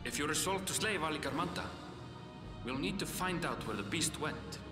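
Man acting a thoughtful high-voiced game scout, very expressive. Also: moderate pace, over loud music, lightly acted. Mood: pensive. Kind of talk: video game cutscene acting.

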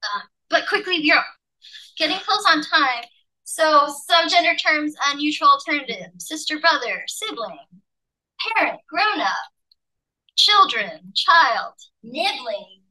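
A woman from Malaysia, a professor, leading an online teaching workshop over a video call and explaining with lively emphasis. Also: sits high, a little slow, low-quality recording.

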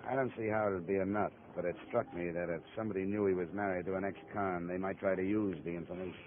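Deep-voiced hard-boiled radio detective played by a man. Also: fast talker, background noise, natural voice. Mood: probing, cool. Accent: American. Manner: terse, hard-boiled, deadpan. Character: tough, wry American private investigator narrating his case. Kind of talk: 1950s radio detective drama acting. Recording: muffled old 1950 radio broadcast recording.

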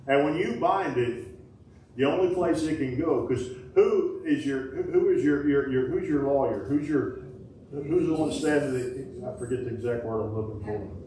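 A middle-aged man, preaching a sermon in a folksy call-and-response style, with sudden loud bursts. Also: medium pitch, moderate pace, slight background noise. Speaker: pastor. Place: small wooden-walled church sanctuary. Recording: echoey church from a distance.

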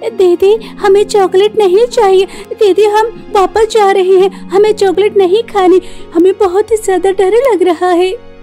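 Timid schoolchild acted by a woman, horror tale: extremely high, whimpering, pleading, faint music behind. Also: steady tone, measured pace, warm tone. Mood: uneasy. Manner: whimpering, pleading, repeating words. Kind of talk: dramatised horror storytelling.

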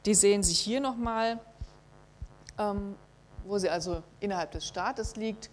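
A middle-aged woman giving a university lecture in a hall, measured and thinking aloud with frequent hesitations. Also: medium pitch. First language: German. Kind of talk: academic lecture with slides.

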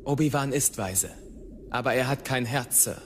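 A man plays a headstrong, frustrated young warrior in a radio drama. His restless voice is of middling pitch, with faint ambient noise behind it. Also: normal speed, close to an everyday voice. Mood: resentful, earnest. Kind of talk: radio play acting.

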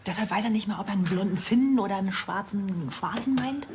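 An actress playing a helpful, wry police colleague in a TV drama. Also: female voice, mid-range voice, quick pace, darkish tone, lightly acted. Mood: exasperated. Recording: noisy room with clicks.

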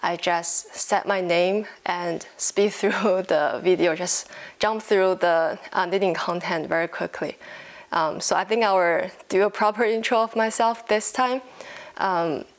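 Female vlogger, 20s, Chinese accent, lowish pitch with vocal fry, informal unscripted chat. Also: fairly even intonation, unhurried. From China. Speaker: knitting podcast host. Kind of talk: casual chat to camera. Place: living room at home, indoors.

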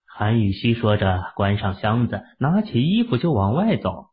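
A man narrating a serialized audio drama in an expressive storytelling manner. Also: on the low side, normal speed. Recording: tinny phone-like audio.

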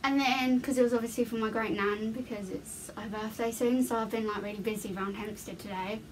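A woman's voice: on the high side, fairly even intonation, brisk, poor audio. A young woman with a southeast English accent, chatting casually in a small bedroom. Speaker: vlogger making prank calls. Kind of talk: casual chat. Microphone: camera a short distance away. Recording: faint background room noise.

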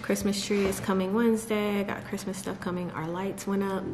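A woman's voice: medium pitch, level tone, fairly quick. A woman, chatting casually to camera in a vlog, laughing often and talking to her dogs. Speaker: vlogger. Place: large bright living room at home. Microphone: camera on a table close by. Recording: echoey room with clear background noise.

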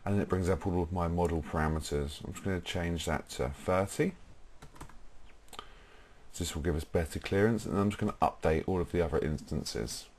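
A young British man presenting a software tutorial, explaining informally step by step in a husky voice. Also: sits low, fairly quick, slight background noise. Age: young adult.

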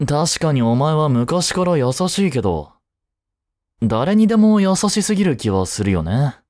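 A young man acts out a teenage boy in a voice drama, the easygoing childhood friend who teases bluntly but is protective. He talks slowly, with pauses and very lively swings in pitch. The recording is dry and close. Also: no room sound. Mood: teasing. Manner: casual, blunt, teasing banter with pauses. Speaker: voice actor. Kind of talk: first-person voice drama, casual chat to the listener.

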